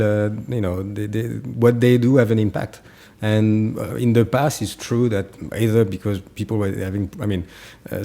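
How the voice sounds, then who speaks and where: French-accented man, mellow and hesitant. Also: lowish voice, creaky. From France. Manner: halting, hesitant, searching for words. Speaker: political candidate, interview guest. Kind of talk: informal interview answers.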